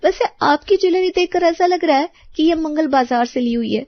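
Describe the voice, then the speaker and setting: A haughty rich neighbour lady played by a woman in a story, pitched high and recorded very dry. She shows off and talks down to everyone, snappish and boastful. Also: brisk, no room sound, dull audio. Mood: smug, boastful. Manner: condescending, showy.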